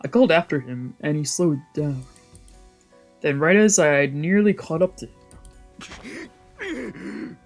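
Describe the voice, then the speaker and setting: A woman's voice: deep voice, lively intonation, measured pace, gravelly, pauses often. A woman streamer reading visual novel narration aloud on a let's play. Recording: music behind the voice.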